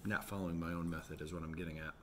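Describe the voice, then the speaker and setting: A man's voice: deep voice, fairly even intonation, rapid-fire, noisy background. A man in his forties chatting casually in a vlog about his day at home. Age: 40s. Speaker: vlogger running an online resale business. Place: home office with bookshelves.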